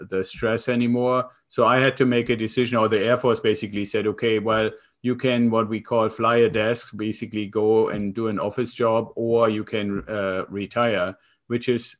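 A man in his fifties from Germany with a German accent, a guest recounting his career in a relaxed, reflective way. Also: on the low side. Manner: relaxed, reflective storytelling with frequent fillers. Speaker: guest, consultant and coach. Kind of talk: conversational interview.

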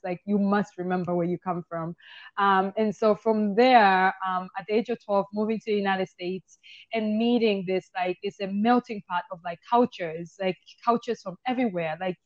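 A woman from Tanzania, a guest, chatting in an animated way and laughing often as she tells long stories over a video call from her apartment. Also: mid-range voice. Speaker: guest, tour business owner. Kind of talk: casual interview chat. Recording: slightly dull, lo-fi call audio.

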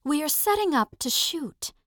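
Woman acting a soft, high-voiced 1940s film actress. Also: lively intonation, moderate pace, no echo, studio quality. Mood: soft urgency. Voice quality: soft, sultry.

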